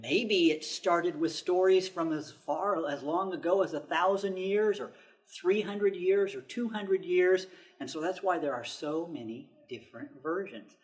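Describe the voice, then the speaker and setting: A man's voice: high-pitched, unhurried. Casting: American man, children's librarian host, educational delivery, plain and simple explanations aimed at kids. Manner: explains simply, with rhetorical questions. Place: public library children's section.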